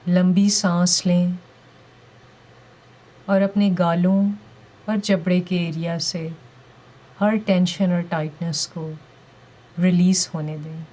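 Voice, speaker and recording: A woman leading a guided meditation with calm, gentle, deliberate instructions and pauses between phrases. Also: on the low side, poor audio.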